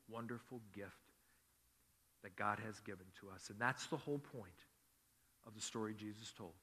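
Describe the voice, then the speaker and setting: A male pastor preaching a sermon with earnest emphasis, rising on key words and dropping soft on others. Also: mid-range voice. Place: church sanctuary. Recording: long hall reverb.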